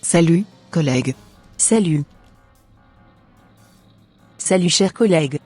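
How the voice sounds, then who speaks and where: Woman voicing a husky, haughty team leader. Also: lowish voice, expressive, slow, mellow, faint music behind, heavily acted. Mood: flattering.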